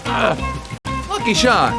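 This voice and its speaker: Animated, wisecracking cartoon bee. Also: male voice, high-pitched, slow pace, gravelly, over music, exaggerated voice. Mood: excited, wisecracking. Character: excitable, plucky male cartoon bee. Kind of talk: animated video game dialogue.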